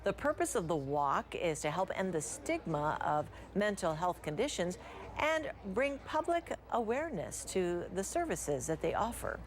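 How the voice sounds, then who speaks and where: A woman's voice: on the low side, sing-song, moderate pace, slight background noise. A woman with an American accent reading scripted television news as an anchor.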